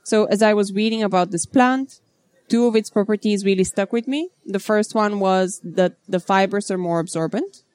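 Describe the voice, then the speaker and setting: A woman from Bulgaria in her thirties, a startup founder answering interview questions in a measured, businesslike way. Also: medium pitch, a little mellow, clear, resonant tone, dry recording.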